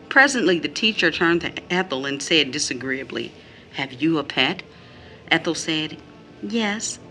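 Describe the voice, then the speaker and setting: Bitter wounded mother, low bright voice. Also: heavily acted. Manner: deliberate phrasing, quotes others in mimicked tones. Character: bitter, wounded mother recounting her young daughter's mistreatment. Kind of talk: audio drama monologue.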